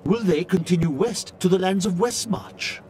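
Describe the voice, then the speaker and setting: An actor playing a learned scholar-chronicler, reading his journal as grave, intrigued, deliberate dramatic narration for a fantasy video game. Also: high voice, lively intonation, darkish tone. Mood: questioning, portentous. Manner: theatrical, deliberate, storytelling delivery.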